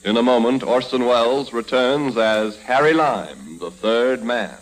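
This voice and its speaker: A man's voice: medium pitch, animated, a little slow, music in the background, poor audio. A man announcing a vintage radio drama in a scripted style.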